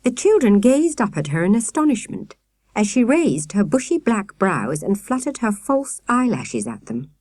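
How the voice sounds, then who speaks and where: A woman reading a children's audiobook in an expressive storytelling manner. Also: medium pitch, normal speed, no echo, clean studio sound. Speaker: audiobook narrator. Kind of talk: scripted children's story narration.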